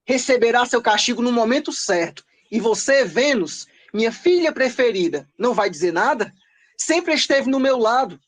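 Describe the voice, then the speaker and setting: A woman's voice: on the high side, expressive, moderate pace. A woman playing a haughty, self-justifying male king of the gods in a pompous, declamatory comedy audio drama. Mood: indignant scolding. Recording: music behind the voice. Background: music.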